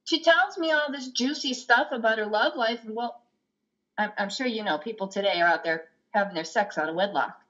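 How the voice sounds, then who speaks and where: A woman playing a guilt-ridden, flustered mother at confession, rambling and halting in a play reading. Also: fairly high pitch, fairly bright tone, natural voice. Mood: flustered, exasperated. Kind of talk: play reading on a video call. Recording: dull, lo-fi video call.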